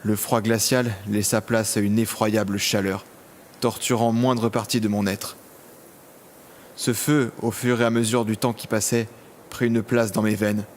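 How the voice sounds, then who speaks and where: An actor playing a lonely, vengeful imprisoned villain in a scripted audio drama, speaking in brooding, literary monologue. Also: male voice, on the low side, slight background noise, close to an everyday voice. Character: vengeful, lonely imprisoned villain, self-styled son of death.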